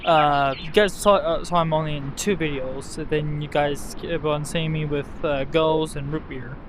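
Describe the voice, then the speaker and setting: A man's voice: on the high side, fairly even intonation, noisy background. A man hosting a gaming video, talking casually to his viewers with lots of fillers. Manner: slow, filler-heavy, addresses viewers as "guys". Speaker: video host.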